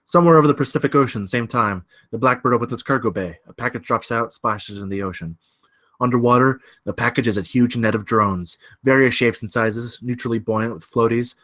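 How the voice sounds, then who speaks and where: A man's voice: mid-range voice, fairly quick, fairly bright tone. A man reading scene directions as the narrator of a live screenplay table read.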